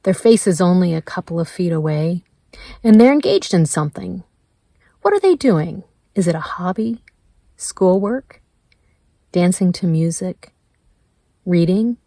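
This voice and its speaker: Slow-paced woman leading guided meditation. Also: fairly low pitch, expressive, creaky, no room sound. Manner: gentle, measured, with pauses between prompts.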